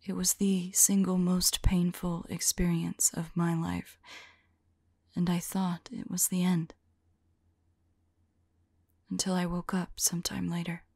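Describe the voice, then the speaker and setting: A woman playing a remorseful, lovelorn female vampire in an ASMR roleplay, whispering softly and tenderly in a halting, intimate monologue. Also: fairly low pitch, flat delivery, no room sound, heavily acted. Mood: haunted, sorrowful. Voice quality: soft, breathy whisper. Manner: slow, halting, tender delivery.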